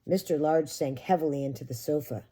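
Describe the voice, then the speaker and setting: Female early-years teacher, soft dark tone, slightly low pitch, expressive storytime delivery aimed at little kids. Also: a little fast, breathy edge. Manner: addresses children directly with simple questions. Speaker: preschool teacher reading to young children. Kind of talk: expressive picture-book read-aloud for children.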